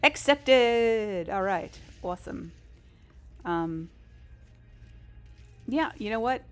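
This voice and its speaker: A woman's voice: fairly high pitch, animated, drawn-out words, faint music behind. A woman live streamer chatting casually over a game, in a rambling, informal and self-deprecating way. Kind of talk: casual gaming stream chat.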